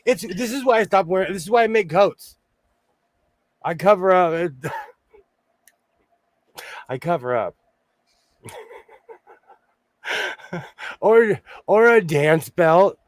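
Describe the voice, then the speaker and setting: A man in his forties hosting a casual sewing livestream, hoarse and losing his voice, joking and laughing often as he reads the chat. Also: sits high, lively intonation, slow pace, pauses often, dry recording.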